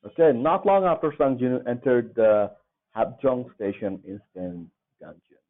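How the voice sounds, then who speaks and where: A man's voice: mid-range voice, animated, unhurried. A man giving casual, hesitant, slangy gameplay commentary. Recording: thin narrow-band mic, loud game music.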